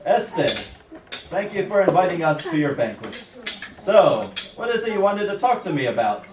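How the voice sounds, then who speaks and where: Genial puppet king, male performer: casual, generous, lightish high voice, noisy distant recording. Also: big pitch swings, normal speed. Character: easygoing, generous biblical king with casual modern manner. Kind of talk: amateur puppet show acting. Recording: echoey room, distant mic, clattering noise.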